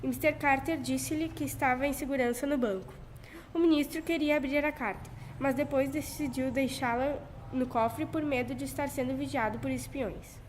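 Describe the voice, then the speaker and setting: A woman's voice: sits high, fairly even intonation, moderate pace, slight background noise, rough recording. A young teenage girl with a Brazilian accent reading scripted narration for a 1940s-style school radio drama.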